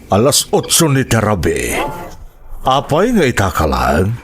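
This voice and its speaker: A man's voice: fairly low pitch, huge pitch swings, a little slow. Stern Ilocano father, noisy background. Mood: stern suspicion. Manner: stern, questioning. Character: stern, suspicious middle-aged father. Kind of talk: Ilocano radio drama acting.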